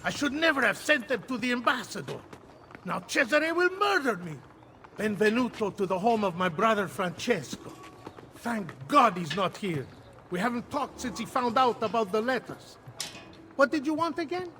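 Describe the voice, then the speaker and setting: An actor playing a talkative, debt-ridden senator in a video game, with an Italian accent and a theatrical, rambling, wisecracking delivery. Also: male voice, very high-pitched, expressive, moderate pace, sharp tone, slight background noise, full character voice. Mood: fretful, wry.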